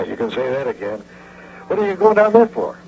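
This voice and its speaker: A genial veteran male police sergeant who wisecracks casually. The voice is creaky and animated. It sounds like a muffled, noisy old radio play. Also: medium pitch, normal speed. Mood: joshing. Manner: joking, casual. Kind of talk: old-time radio drama acting.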